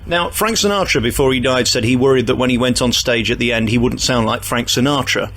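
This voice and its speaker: A man's voice: medium pitch, a little fast, fairly bright tone, a little hiss or hum. A man hosting a radio interview, asking blunt, cheeky and teasing questions in a casual way.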